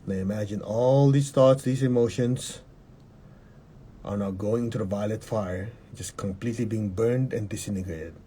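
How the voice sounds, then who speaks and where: A man leading a guided meditation in a calm, measured way, repeating short instructions and having the listener repeat affirmations. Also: on the low side, a little hiss or hum.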